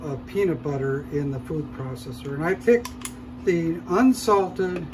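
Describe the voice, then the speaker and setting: A man hosting a cooking show, calmly explaining each step as he cooks in a shop demo kitchen. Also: on the high side, a little slow, noisy background. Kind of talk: casual cooking demonstration.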